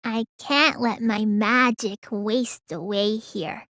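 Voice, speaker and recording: A woman voicing a petulant, proud teenage girl mage for an English video game, with a childlike, listless manner that can turn excitable. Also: mid-range voice, slow pace, no echo, clean studio sound. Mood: proud, resolute. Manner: childlike, listless drawl with sudden excitable bursts.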